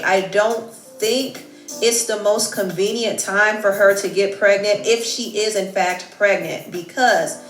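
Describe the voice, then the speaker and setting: A woman's voice: mid-range voice, a bit bright. Emphatic woman gossip host, echoey. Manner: dramatic, emphatic reveals. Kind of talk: scripted gossip commentary.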